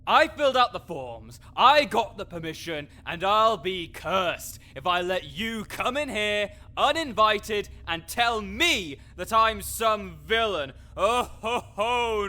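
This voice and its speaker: A man's voice: high voice, sharp tone, heavily acted. Man acting a grouchy, sarcastic necromancer. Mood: indignant, outraged. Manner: sarcastic, indignant, wordy. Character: grouchy male necromancer researcher, exasperated, fussy and sarcastic. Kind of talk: scripted audio roleplay addressed to the listener.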